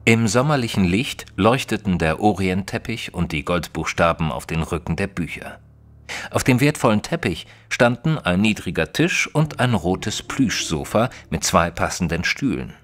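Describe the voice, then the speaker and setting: An actor playing an earnest detective's companion, narrating an audio drama in a measured, literary way. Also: male voice, low voice, expressive. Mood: measured, curious.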